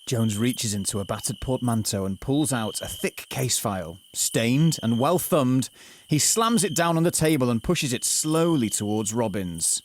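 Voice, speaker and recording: A man's voice: mid-range voice, expressive, moderate pace, a little hiss or hum, close to an everyday voice. A man narrating a scripted comic audio drama in an overblown, literary, mock-dramatic way.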